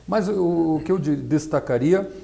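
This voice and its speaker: A middle-aged man explaining his field as an interview guest, didactic and halting, often adding tag questions. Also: a little high, vocal fry, mellow. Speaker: interview guest, accountant and nonprofit consultant.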